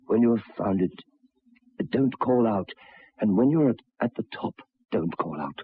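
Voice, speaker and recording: An actor playing a troubled, educated railway signalman in an old radio drama, speaking haltingly and repeating his words. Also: on the low side, animated, slightly rough, heavily acted. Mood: uneasy, intense. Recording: tinny, band-limited old radio recording.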